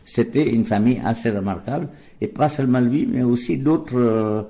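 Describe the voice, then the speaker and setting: A man's voice: medium pitch, normal speed, warm tone. An older man from India, a Gujarati speaker, answering interview questions in a hesitant way full of fillers and restarts. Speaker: guest, deacon. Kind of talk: radio interview.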